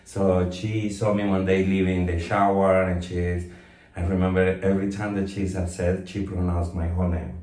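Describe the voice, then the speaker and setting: A man in his fifties from Colombia speaks in a very low voice, thoughtful and unhurried, often pausing on fillers and restarting his sentences. He sits a little back from the mic, and the room around him sounds echoey. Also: little pitch movement, a little mellow. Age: 50s. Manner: reflective, with frequent fillers and restarts. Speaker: guest. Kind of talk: casual interview. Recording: roomy echo, mic at some distance.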